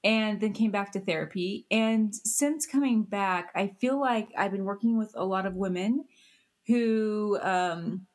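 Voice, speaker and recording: A woman's voice: mid-range voice, unhurried, darkish tone. A woman therapist interviewed on a podcast, who talks with dry, self-mocking humor. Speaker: therapist, co-founder. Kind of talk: conversational interview.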